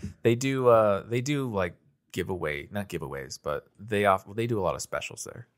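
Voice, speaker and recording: A young man with an American accent and a fairly low voice hosts a casual conversation. He speaks informally, with slang and easy anecdotal phrasing. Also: lively intonation, normal speed. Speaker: podcast host. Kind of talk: casual chat.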